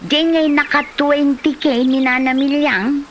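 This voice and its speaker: A woman's voice: sits high, fairly even intonation, a little slow, slight background noise. A woman with an Ilocano Filipino accent acting a chatty village neighbour in a comedy drama. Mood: excited, gossipy.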